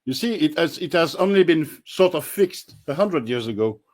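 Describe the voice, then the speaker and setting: A blunt, firm man who explains points in a measured way. His voice sits a little high, and he speaks as a guest researcher on a casual panel. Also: expressive.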